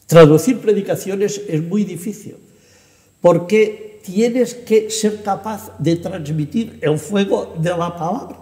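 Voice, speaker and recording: High-voiced older man in an echoey room. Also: takes it slowly, darkish tone. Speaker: podcast guest, translator and editor. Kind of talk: animated interview conversation. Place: indoor book-lined room. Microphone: lapel. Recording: echoey room with long reverb.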